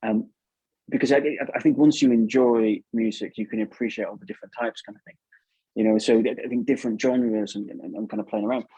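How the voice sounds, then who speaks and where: A man's voice: on the low side, fairly even intonation, a little fast, mellow, slightly rough, no echo, dull audio. A man giving casual advice as an interview guest, chatty and repeating himself for emphasis. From England.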